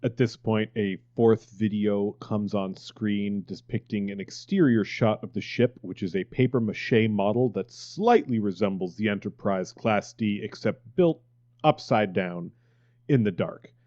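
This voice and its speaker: A man's voice: fairly low pitch, unhurried, dark tone, dry recording. A man reading stage directions as scripted narration, on a dull recording. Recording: dull, lo-fi sound.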